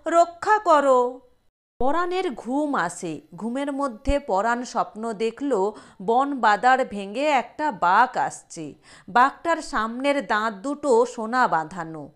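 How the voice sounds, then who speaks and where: A woman reading a literary short story aloud as its narrator. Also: fairly high pitch.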